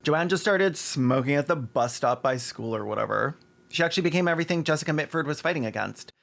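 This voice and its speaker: A man's voice: on the high side, animated, fast, vocal fry, fairly bright tone. Snarky man doing sarcastic commentary. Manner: snarky, mocking, swears. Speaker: video essay host. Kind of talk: sarcastic scripted commentary with asides.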